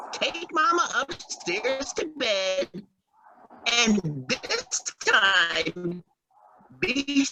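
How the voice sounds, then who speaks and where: A woman's voice: on the high side, noisy background, exaggerated voice. A woman doing a flustered, swooping young husband. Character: fretful young husband, fussing over his mother. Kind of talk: old-time radio drama.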